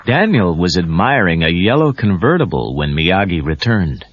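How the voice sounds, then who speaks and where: A man's voice: deep voice, very animated, moderate pace, a little hiss or hum. A man narrating a scripted read-along story for children as the storyteller. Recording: muffled lo-fi read-along cassette tape.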